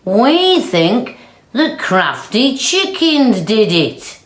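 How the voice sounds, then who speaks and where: Woman as a slow, suspicious, sulky cow. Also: fairly high pitch, very animated, echoey room, voice pushed up, exaggerated voice. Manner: slow, emphatic. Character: suspicious, sulky, jealous farm cows.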